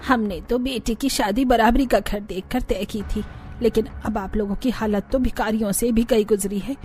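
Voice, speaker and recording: Woman as a mellow, blunt middle-aged mother. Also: a little high, steady tone, moderate pace, faint music behind, natural voice. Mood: disdainful.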